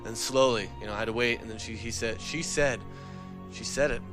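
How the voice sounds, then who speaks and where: A man's voice: mid-range voice, fairly quick, over music. A man telling a comic gaming anecdote in a casual, profane, dramatic and exasperated way. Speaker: comic storyteller on a gaming talk show.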